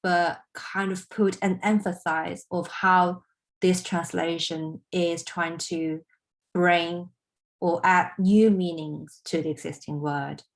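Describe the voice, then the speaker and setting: Slow, hesitant woman translator. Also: fairly low pitch, fairly even intonation. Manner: hesitant, searching for words, many fillers. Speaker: translator and artist. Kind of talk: online panel discussion.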